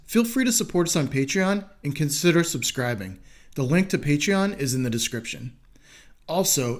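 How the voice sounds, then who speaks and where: A man hosting a podcast, speaking in an interviewer's orderly, presentational style. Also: a little high. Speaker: podcast host. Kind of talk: podcast interview and scripted sign-off.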